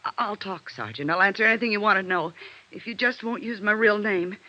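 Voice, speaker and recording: A woman's voice: medium pitch, fairly quick, bright, forceful tone, dry recording, lightly acted. An actress playing a scared young nightclub showgirl, by turns defiant and pleading, in 1950s radio drama style. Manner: urgent, pleading. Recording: dull vintage radio recording.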